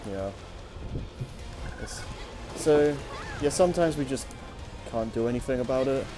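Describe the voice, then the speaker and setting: A man co-hosting a show, chatting casually and unscripted in a hesitant, halting way. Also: medium pitch, lively intonation, over music. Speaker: co-host of a story-reading show. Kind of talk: casual unscripted chat over gameplay.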